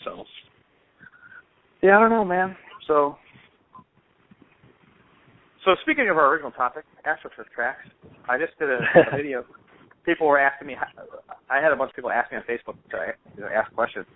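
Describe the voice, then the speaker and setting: A man's voice: fairly high pitch, lively intonation, brisk, pauses often. A man telling stories casually on a phone call in an informal, slangy, rambling way, as host of a hobby show. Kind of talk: casual phone chat, anecdotal storytelling. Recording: dull lo-fi phone-call audio.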